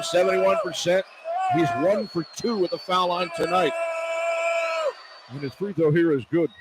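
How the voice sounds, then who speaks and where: A man calling live basketball play-by-play on the radio in clipped, running descriptions of the action. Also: on the high side. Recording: noisy live arena broadcast. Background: arena crowd ambience.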